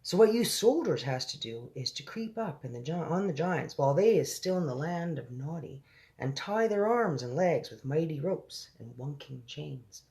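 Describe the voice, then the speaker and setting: Casting: a narrator doing a big, friendly male storybook giant, homely muddled speech, swooping pitch, some creak. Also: expressive, moderate pace, darkish tone, close to an everyday voice. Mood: earnest. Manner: mangled grammar and invented nonsense words.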